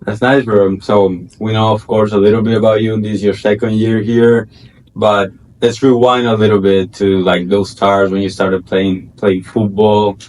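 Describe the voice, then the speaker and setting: A man co-hosts a casual podcast interview, his voice a little low and held to a narrow, flat range. He builds his questions loosely, with likes and restarts as he goes. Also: moderate pace. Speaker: podcast co-host.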